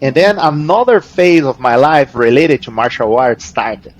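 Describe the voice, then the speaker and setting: A man's voice: mid-range voice, measured pace. A man from Brazil with a Brazilian accent and Portuguese as his first language, answering casually in an interview, repeating words as he searches for them. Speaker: interview guest, jiu-jitsu athlete.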